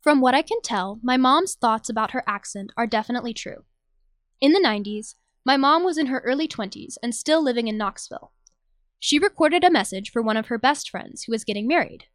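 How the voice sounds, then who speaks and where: A woman's voice: a little high, no echo. A young American woman from Tennessee reading a scripted personal audio essay as its narrator. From Nashville, Tennessee, USA. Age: young adult. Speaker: narrator, journalism student.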